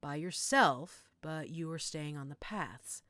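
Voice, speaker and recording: A woman playing a middle-aged motherly healer in an audio roleplay, gentle, reassuring and coaxing. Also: deep voice, lively intonation, slow, no room sound, clean studio sound, heavily acted. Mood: gentle, serious. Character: calm motherly werewolf-den healer named Judith, tending a patient.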